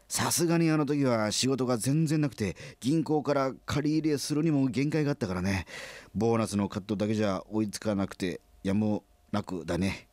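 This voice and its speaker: A man's voice: fairly low pitch, darkish tone, no room sound, lightly acted. An actor playing a sheepish, well-meaning middle-aged company president in a comedic radio drama, stammering and repeating his words when flustered.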